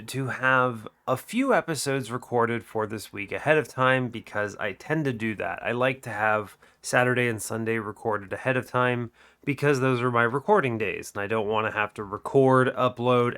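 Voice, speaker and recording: A man's voice: mid-range voice, fairly bright tone, clean tone. A young man hosting a gaming channel, talking through a card game while sick, his voice muted and a bit nasal, rambling and correcting himself as he goes. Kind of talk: game commentary. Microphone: desk microphone.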